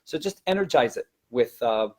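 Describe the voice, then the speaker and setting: A man teaching in a conversational webinar style, explaining and demonstrating in an animated way as a presenter and teacher trainer. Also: medium pitch, moderate pace, a little hiss or hum.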